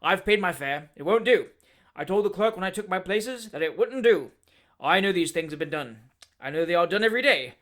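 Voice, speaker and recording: Loud jerky bossy old-army guy, barks short lines, man reading it out. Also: high voice, expressive, fairly quick. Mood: indignant. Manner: short abrupt jerking loud sentences. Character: fierce blustering ex-army man, middle-aged.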